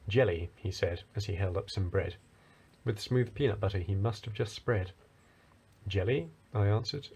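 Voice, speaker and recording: A man narrating a rhyming children's story as a storyteller, with a husky voice. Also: fairly low pitch, darkish tone.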